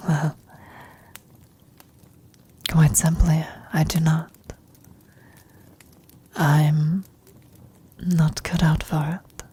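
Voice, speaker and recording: A woman acting a frail, dying old adventurer in an intimate ASMR roleplay, speaking tenderly and haltingly in a breathy, husky whisper. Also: deep voice, mellow, heavily acted. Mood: gently firm. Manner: halting, tender, reflective, long pauses.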